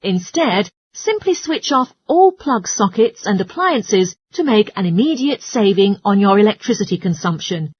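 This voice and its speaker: A woman's voice: mid-range voice, lively intonation, normal speed, few pauses, slightly rough, no echo. A woman presenting a scripted, radio-style advice talk in an explanatory, instructional manner. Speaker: presenter of a listening-test talk.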